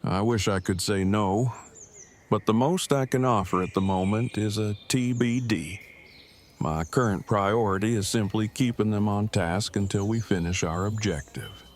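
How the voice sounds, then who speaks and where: A man's voice: lowish voice, normal speed, lightly acted. A man playing a formal, wordy survivor in an audio drama, with stilted, pedantic phrasing. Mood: matter-of-fact. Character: formal, wordy, earnest male survivor overseer.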